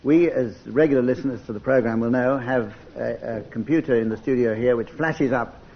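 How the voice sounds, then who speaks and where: A man's voice: mid-range voice, a little fast, gravelly. Male panel game host, slightly muffled. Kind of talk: unhurried radio comedy show hosting. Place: radio studio with live audience. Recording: muffled old radio recording, faint noise.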